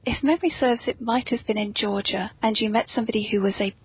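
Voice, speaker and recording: A woman's voice: fairly high pitch, fast, slight background noise. A woman hosting a casual, playful interview chat, talking in a self-deprecating way. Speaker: podcast host and author. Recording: dull lo-fi podcast audio.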